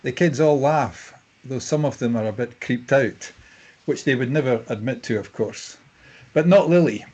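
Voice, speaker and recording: Man, husky voice, middle pitch, scripted screenplay narration, stage directions. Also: a little mellow.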